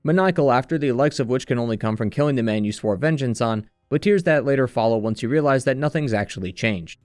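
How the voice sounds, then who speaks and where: A man reading scripted narration in a measured, earnest way, like a video essayist walking through a story retrospective. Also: medium pitch, darkish tone, no room sound, clean studio sound. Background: background music.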